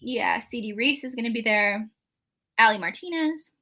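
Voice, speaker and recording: Bright, lively woman chatting about books. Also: on the high side, moderate pace. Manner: chatty and animated, lots of "like" and "um". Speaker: co-host of a book readalong live show. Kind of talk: casual chat between friends.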